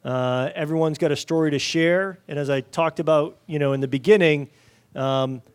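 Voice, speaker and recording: A man's voice: a little high, fairly even intonation, normal speed, clear, resonant tone. A man moderating a conference panel, talking loosely with many fillers and some military turns of phrase. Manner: loose, filler-heavy delivery with military metaphors. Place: conference panel in front of an audience.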